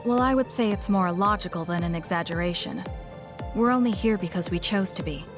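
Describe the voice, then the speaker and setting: A woman's voice: medium pitch, a little fast, music in the background, close to an everyday voice. An actress playing a cool, guarded, logical young woman soldier in a video game, speaking in a reserved, blunt, matter-of-fact way. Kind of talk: English video game voice acting.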